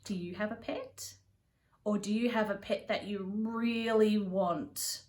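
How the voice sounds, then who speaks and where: A woman reading a children's picture book aloud as a storyteller, with an expressive, deliberate delivery. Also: mid-range voice. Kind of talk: read-aloud for young children.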